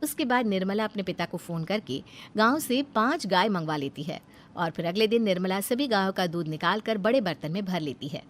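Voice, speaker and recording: A woman's voice: medium pitch, lively intonation, fairly quick. A woman reading scripted narration for a cartoon family story as its storyteller.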